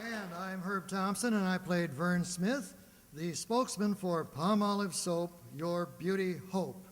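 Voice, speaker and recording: Male presenter, high register, measured pace, live credits in a reverberant, noisy auditorium. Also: crowd noise. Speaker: radio player presenting crew credits. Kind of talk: live curtain-call introductions. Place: large indoor auditorium, on stage. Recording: noisy echoey auditorium with audience.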